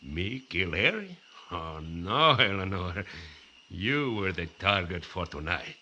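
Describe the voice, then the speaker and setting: An actor playing a swaggering, sardonic gangster boss with slangy wisecracks in a 1940s radio drama. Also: male voice, deep voice, very animated, slow, sharp tone, lo-fi sound, heavily acted. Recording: hissy vintage radio recording.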